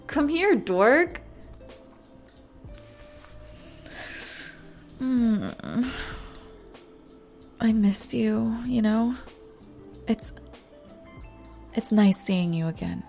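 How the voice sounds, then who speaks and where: A woman playing a nervous, lovesick young pop star in an intimate audio roleplay, speaking in a halting, flustered way. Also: medium pitch, expressive, a little mellow, faint music behind. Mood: teasing, affectionate. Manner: flustered, halting, self-interrupting, breathy sighs.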